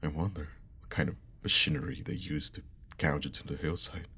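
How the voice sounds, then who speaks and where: A man playing a friendly, caring young old school friend in an ASMR roleplay, speaking softly and hesitantly with a breathy half-whisper, close to the mic. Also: extremely low pitch, fairly even intonation, brisk, exaggerated voice. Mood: upbeat, marveling. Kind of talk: ASMR roleplay, intimate one-sided conversation.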